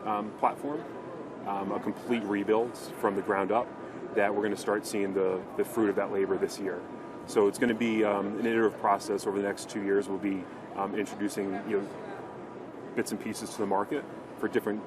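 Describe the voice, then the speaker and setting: Low, even-toned man in corporate interview. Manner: earnest corporate sales talk. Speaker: company representative being interviewed. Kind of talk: informal business interview at a trade show. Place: trade show floor. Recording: clear show-floor background noise.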